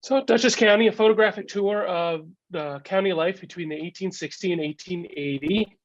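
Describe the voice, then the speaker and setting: Guy with a cold, kinda gravelly, lots of uh's, higher voice, dull dry video call sound. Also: no room sound. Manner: halting, many "uh" fillers. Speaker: guest speaker, collector. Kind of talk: casual slideshow talk.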